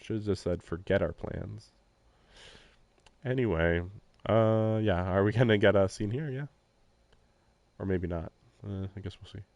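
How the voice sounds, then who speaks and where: A man's voice: fairly low pitch, unhurried, vocal fry, pauses often, a little mellow, dry recording, lo-fi sound. A man live-streaming a visual novel, reading the text aloud and adding casual, wry, offhand comments. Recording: faint game music behind the voice. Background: game soundtrack.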